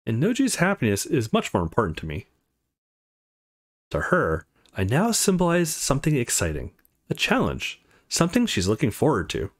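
A man voicing an intense, protective young man in a visual novel, earnest and forceful. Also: medium pitch, huge pitch swings, moderate pace. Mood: resigned.

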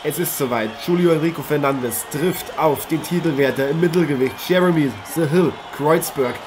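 A man's voice: a little high, normal speed, lightly acted. A man playing an eager, effusive TV boxing host in an improvised German audio drama. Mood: excited. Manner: effusive, formal address to viewers. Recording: noisy arena ambience behind voice.

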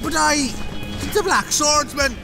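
A man's voice: very high-pitched, takes it slowly, vocal fry, over music, full character voice. A man playing a flustered, timid village father who stammers hesitantly in a comic dub. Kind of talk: dramatic comic dub acting.

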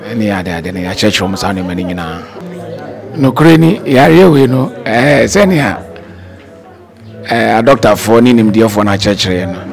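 A middle-aged Ghanaian pastor explains things in a measured way, slipping in English terms. He speaks into a handheld mic indoors, his voice low, with faint music underneath. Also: animated, vocal fry. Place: indoors at a church entrance. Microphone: handheld microphone.

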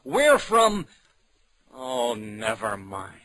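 An actor playing an earnest small-town Midwestern husband in 1940s radio drama style. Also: male voice, mid-range voice, very animated, very slow, bright, forceful tone, full character voice. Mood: sheepish. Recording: noisy old radio recording.

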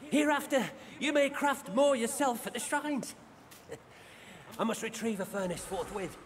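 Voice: medium pitch, expressive, measured pace, lightly acted. An actor voicing a self-important deity in a video game, speaking in archaic, formal words. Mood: stately.

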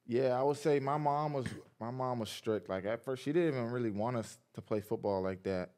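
A man in his twenties from Massachusetts, a guest in a casual podcast interview, telling stories in a relaxed, laid-back way with lots of "like.". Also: mid-range voice, a little fast. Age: 20s. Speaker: guest, pro football player. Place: small indoor podcast studio. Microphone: boom mic on arm beside him.

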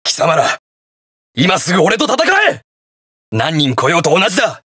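An actor playing a brash, hot-blooded young detective hero in a video game, gruff, terse and defiant. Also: male voice, fairly high pitch, very animated, normal speed, sharp tone, no echo, clean studio sound, full character voice. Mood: defiant, fierce. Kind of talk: video game battle voice lines in Japanese hero-show acting.

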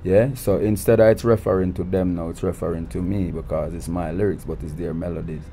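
Jamaican man, very low voice, laid-back, faint background hiss. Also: fairly quick. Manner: relaxed, repeats words when starting sentences. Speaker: guest artist. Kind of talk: casual interview. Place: recording studio.

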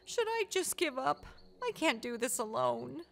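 Woman as a high, wide-eyed little bear cub. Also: big pitch swings, unhurried, gravelly, fairly bright tone, exaggerated voice. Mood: discouraged. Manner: wide-eyed, wondering, earnest. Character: small timid but eager young blue bear cub.

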